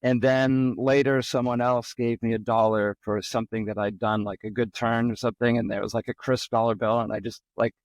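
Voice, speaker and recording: A man's voice: mid-range voice, level tone, brisk, hardly any pauses, no echo. A middle-aged man from the Boston area, a podcast guest telling personal stories in a casual, rambling way with many ums. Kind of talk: casual interview storytelling.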